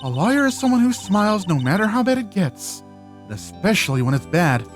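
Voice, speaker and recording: A calm, confident female mentor and lawyer in a visual novel game, played by a woman. The delivery is measured and instructive, with lively intonation at a middle pitch. Soft music sits behind. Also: close to an everyday voice. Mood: firm, encouraging.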